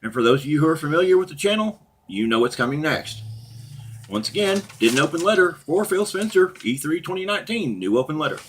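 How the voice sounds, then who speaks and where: A middle-aged man with a husky voice, talking casually and off the cuff as a blunt, profane, opinionated gaming channel host. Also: fairly high pitch, sing-song, fast talker, fairly bright tone, slight background noise. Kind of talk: casual unscripted rant and commentary to viewers.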